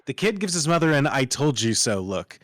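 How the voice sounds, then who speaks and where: A man's voice: medium pitch, very animated, fairly quick, fairly bright tone, dry recording, studio quality. A man narrating, reading screenplay stage directions aloud as part of a table read.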